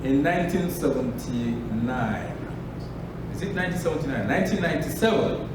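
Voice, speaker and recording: Echoey Sierra Leonean man speaking from afar. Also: expressive. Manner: measured, pausing, drops low at phrase ends. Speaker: author at a book launch. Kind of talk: live storytelling to an audience. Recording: echoey room from a distance with noise.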